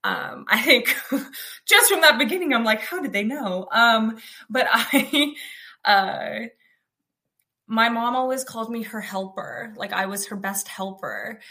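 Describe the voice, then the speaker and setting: A young American woman with a loud, outspoken voice. She tells her personal story casually, in a chatty flow full of fillers and restarts. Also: on the high side, lively intonation, unhurried. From United States.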